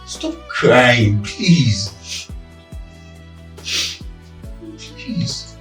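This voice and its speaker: Guilty husband, screen drama: beseeching and placating, dramatic pitch swings, roomy echo. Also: male voice, wildly swooping pitch, very slow, soft background music, full character voice. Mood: remorseful, pleading. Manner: pleading, beseeching. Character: cheating husband, pleading and placating. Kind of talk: melodramatic screen drama acting.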